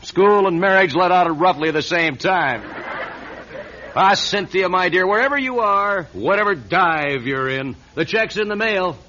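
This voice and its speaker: An actor playing a vain, needling American TV host in a radio drama, with glib, showy patter and stammering asides. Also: male voice, sits high, expressive, unhurried, pushed and bright, a faint crowd, exaggerated voice. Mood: brash, joking. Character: glib, needling, vain male TV talk-show star. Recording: dull, lightly noisy radio recording.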